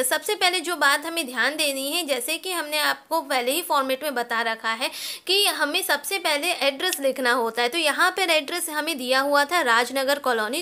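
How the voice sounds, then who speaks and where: A woman's voice: high-pitched, pushed and bright. A woman with an Indian accent teaching students step by step, addressing them directly and switching between Hindi and English. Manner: addresses pupils directly as children. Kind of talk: instructional lesson, explaining step by step to students.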